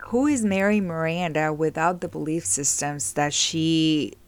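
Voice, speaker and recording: A middle-aged woman from Mexico speaks in a fairly low voice. Her talk is earnest and reflective, full of "like" and "right?" as she works through ideas on healing. The recording is dry and close. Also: unhurried, dry recording. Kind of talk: casual motivational talk.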